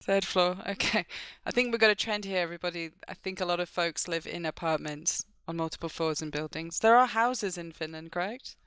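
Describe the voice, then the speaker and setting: A woman's voice: on the low side, a little fast, few pauses, fairly bright tone, clear, resonant tone, dry recording. A woman hosting an online interview, chatty and friendly with frequent fillers. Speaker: interviewer, host. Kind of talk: podcast interview.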